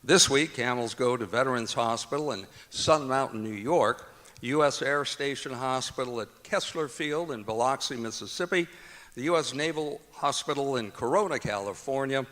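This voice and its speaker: A man's voice: medium pitch, normal speed, fairly bright tone. An American man working as announcer for a stage radio show, delivering scripted copy in an old-time radio announcing style. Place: performing arts auditorium stage, live performance. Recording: long hall reverb, music behind, live auditorium.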